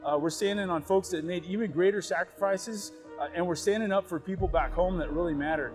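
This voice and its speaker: A man's voice: high voice, brisk, music in the background. A man in a military role talking earnestly and informally in a documentary interview. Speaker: air force communications squadron leader.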